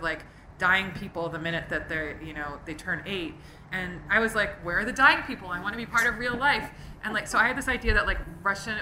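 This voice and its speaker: A woman's voice: fairly low pitch, bright, forceful tone, slight background noise, low-quality recording. A middle-aged woman author, talking at a live event in a thoughtful, rambling way with many fillers. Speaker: author being interviewed.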